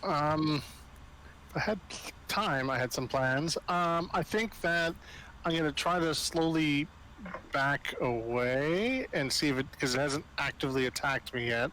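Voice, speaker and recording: A man with a slightly high voice chats casually as a player in a tabletop game. He works out his moves aloud in a tentative way. Some faint noise sits behind him. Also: measured pace, pushed and bright, poor audio.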